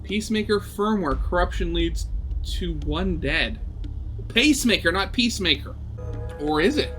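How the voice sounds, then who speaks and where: A man reading a visual novel aloud as expressive narration, dramatic, with brief conversational asides. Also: high-pitched, normal speed, soft background music. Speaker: video game let's player reading a visual novel aloud.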